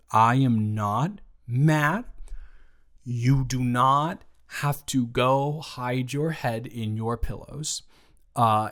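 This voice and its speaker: A man speaking in an improvised roleplay audio, his voice sitting in a middling pitch. He talks slowly and chooses his words with care, pausing often. His tone stays soft and reassuring throughout. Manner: slow, careful, measured speech with pauses.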